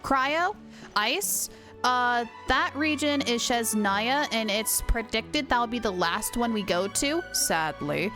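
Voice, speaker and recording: A woman livestreaming a game, chatting casually with viewers in an excitable, gushing way. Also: a little high, slow pace. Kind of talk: casual live stream commentary and chat. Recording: game music behind voice.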